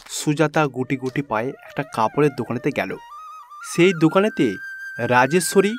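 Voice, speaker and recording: A man's voice: on the high side, slight background noise. A man narrating a scripted children's fairy tale as a storyteller.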